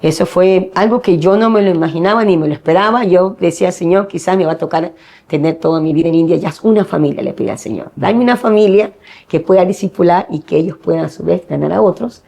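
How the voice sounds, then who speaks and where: A woman's voice: lowish voice, quick pace, gravelly, dark tone. A middle-aged woman from Ecuador, a missionary guest giving earnest personal testimony and acting out the dialogue she quotes.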